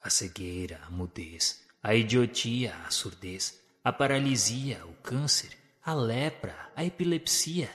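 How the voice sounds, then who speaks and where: A man narrating a spiritual radio drama in a solemn, deliberate way. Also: medium pitch, animated, a bit bright, big-room sound.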